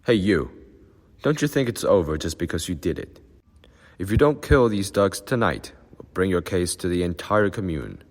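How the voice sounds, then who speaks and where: A man's voice: deep voice, very animated, normal speed, big-room sound, pitched above the performer's own voice. A man voicing a pompous, officious male brigade leader who bellows his announcements. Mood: angry.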